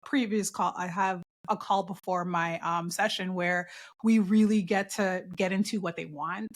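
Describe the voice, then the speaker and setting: A woman's voice: lowish voice, fairly even intonation, normal speed. A woman from New York City, born in Guyana, speaking as an interview guest in a conversational, explanatory way. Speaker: podcast guest, portrait photographer.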